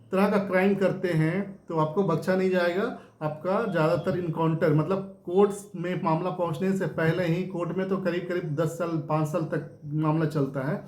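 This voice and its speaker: A man with a high-pitched voice talks through the news as a host, unscripted and informal. He explains by repeating himself and adds small rhetorical check-ins. The recording is noticeably roomy and echoey. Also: a little mellow. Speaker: host of a news discussion channel. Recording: roomy, echoing room sound.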